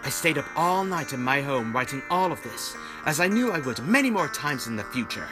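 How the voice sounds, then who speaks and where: An actor playing an earnest, inquisitive newspaper writer turned detective in an audio drama, speaking dramatically. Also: male voice, mid-range voice, sing-song, fairly quick, music in the background, lightly acted. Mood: brooding narration. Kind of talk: audio drama acting and first-person narration.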